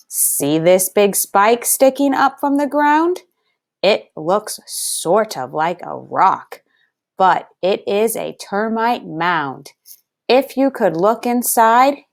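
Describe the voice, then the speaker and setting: A woman speaks as a first-grade teacher leading a read-aloud lesson. Her pitch moves in lively swings while her pace stays slow. She places each word deliberately and talks straight to the children with encouragement. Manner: deliberate enunciation, addressing children directly.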